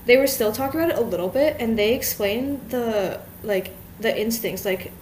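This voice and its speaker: A woman's voice: on the high side, normal speed, a little mellow, a little hiss or hum, low-quality recording. A woman in her twenties co-hosting a podcast, chatting casually with many fillers and trailing sentences. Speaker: podcast co-host. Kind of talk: casual chat.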